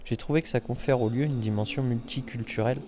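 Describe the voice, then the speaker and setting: A man hosting a travel vlog, narrating in a relaxed, wry tone that speaks straight to the viewer. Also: mid-range voice, fast talker, heavy background noise. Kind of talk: voice-over narration.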